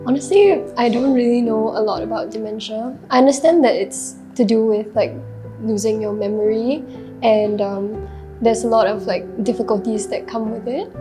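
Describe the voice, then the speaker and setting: A woman's voice: a little high, normal speed. A woman, a medical student speaking frankly and easily. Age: 20s. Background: guitar music.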